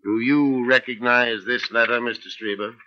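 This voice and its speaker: An actor playing a formal, probing police inspector in an old-time radio mystery, official and politely insistent. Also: male voice, medium pitch, steady tone, moderate pace, lightly acted. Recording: slightly dull old radio recording.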